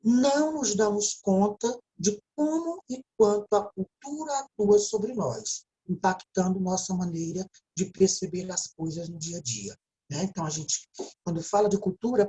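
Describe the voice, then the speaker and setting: A woman's voice: mid-range voice, lively intonation, moderate pace, mellow, low-quality recording. A woman with a Brazilian Portuguese accent gives a recorded video lecture, explaining step by step and often checking in with a tag question. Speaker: teacher.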